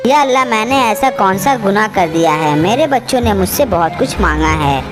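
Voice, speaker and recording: A woman's voice: mid-range voice, animated, normal speed, over music, close to an everyday voice. A woman playing a poor, devout mother sparrow in a cartoon story, speaking earnestly. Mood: pleading, worried.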